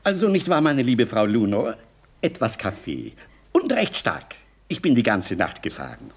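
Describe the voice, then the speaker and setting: A man's voice: mid-range voice, wildly swooping pitch, moderate pace, husky, exaggerated voice. Swooping, pompous older father. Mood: genteel, commanding. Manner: genteel, amused, commanding. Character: self-assured, jovial older father, teasing and pompous. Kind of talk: 1960s German radio comedy acting.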